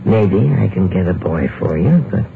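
An actor playing a sly, drawling bookie with mob ties in a 1950s radio drama. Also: male voice, low voice, very animated, creaky, exaggerated voice. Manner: slow, sly, drawling with hesitations. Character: sly, gravelly bookie who deals with mobsters. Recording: tinny, hissy old radio broadcast.

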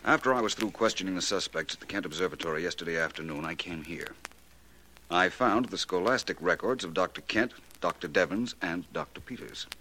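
An actor playing a shrewd, courteous, self-assured detective with polished, probing delivery in a 1940s radio drama. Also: male voice, deep voice, fairly quick, pushed and bright, slight background noise, low-quality recording. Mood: absorbed, methodical.

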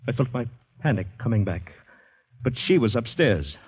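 An actor playing a panicky, polite, shell-shocked Canadian man who narrates his ordeal intensely and haltingly in a 1950s radio drama. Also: mid-range voice, sing-song, darkish tone, husky, no room sound. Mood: fearful, hushed. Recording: dull vintage radio recording, music behind.

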